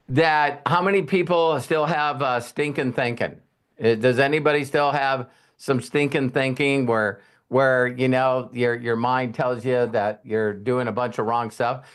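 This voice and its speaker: A man hosts a loose question-and-answer session. He talks bluntly and folksily and curses now and then. His voice sits in an ordinary middle range. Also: mid-range voice. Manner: blunt, folksy, with mild cursing. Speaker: host. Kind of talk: casual live Q&A session.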